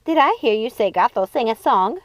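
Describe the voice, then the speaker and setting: A woman's voice: on the high side, animated, fairly quick, no room sound, natural voice. A woman voicing a giddy, mocking witch sister in a storybook, screechy and whiny. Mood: whiny, eager.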